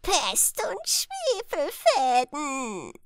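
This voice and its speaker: A woman voicing a small red devil in a fairy tale, grumbling and whining with drawn-out exclamations. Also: sits high, wildly swooping pitch, no room sound, clean studio sound, voice pushed up, full character voice. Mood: cursing. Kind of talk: fairy-tale audiobook character.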